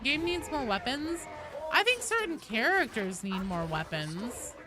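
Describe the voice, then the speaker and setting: A woman's voice: on the high side, big pitch swings, measured pace, noisy background. A woman game streamer chatting casually and informally while she plays, with slangy talk and plenty of swearing. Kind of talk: casual live stream chat with gameplay commentary.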